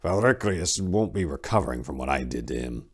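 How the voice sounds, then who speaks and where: A battle-hardened fantasy warrior monk voiced by a male narrator speaks from a low register. He is grim and terse, giving blunt, matter-of-fact answers as in a dramatic audiobook. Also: lively intonation, a little fast, husky, heavily acted. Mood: grave.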